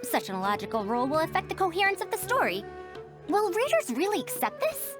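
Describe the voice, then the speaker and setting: A high-pitched, haughty lady scholar. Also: big pitch swings, fast. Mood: critical, puzzled. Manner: pedantic, precise, exclamatory. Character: haughty scholarly woman, old-fashioned literary critic, young voice. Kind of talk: anime video game voice acting. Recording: game audio over clear background music.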